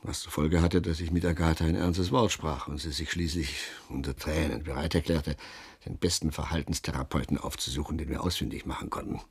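An actor playing a middle-aged former lawyer turned novelist in a German radio drama, telling his story in a wry, halting, self-deprecating way with a husky, gravelly voice. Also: very deep voice, fairly even intonation, exaggerated voice. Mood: dry, rueful. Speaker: narrator and protagonist.

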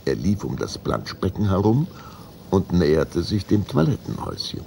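A man narrating a children's audio drama in a gravelly, husky voice, with a deliberate, suspenseful delivery. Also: deep voice, huge pitch swings, dark tone, slight background noise, exaggerated voice.

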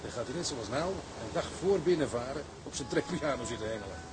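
A man's voice: mid-range voice, lively intonation, fairly quick, natural voice. A man playing a seasoned, blunt, cheeky seaman in a Dutch maritime radio drama, speaking in wry, salty slang. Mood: amused storytelling. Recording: noisy ship ambience behind voice.

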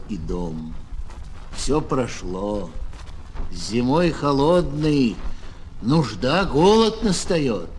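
A man giving a theatrical, expressive recitation of verse fables for children as a storyteller. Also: mid-range voice. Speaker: fable narrator, actor. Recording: noisy old flexi-disc record.

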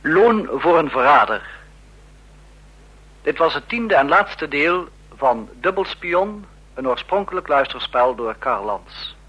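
Slow, formal male radio announcer. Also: fairly high pitch, lively intonation, pushed and bright, slightly rough. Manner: measured, formal. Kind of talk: formal scripted closing credits. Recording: dull, lo-fi old radio recording.

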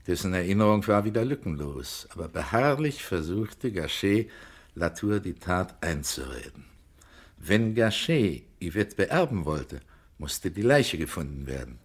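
An actor playing a shrewd police investigator in a German radio crime drama, speaking in a measured, precise, clipped and insistent way. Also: male voice, low voice, breathy edge, exaggerated voice. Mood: measured recounting. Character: shrewd male police investigator, coolly cornering a suspect.

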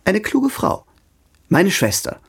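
Charming, animated young gentleman suitor. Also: sits high, takes it slowly, gravelly, slightly rough, no room sound, studio quality, full character voice. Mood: persuasive. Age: young adult.

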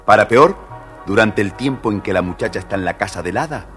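Male children's story narrator, lowish voice, lively intonation, faint music behind. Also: brisk. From Argentina.

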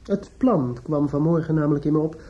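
An actor in a radio drama playing a despairing, obsessive judge's son who is outwardly polite and inwardly bitter. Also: male voice, a little high, mellow, natural voice. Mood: icily polite. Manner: halting and hesitant with others, brooding and repetitive when alone. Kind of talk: radio drama acting with interior monologue. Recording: dull lo-fi old radio play recording.

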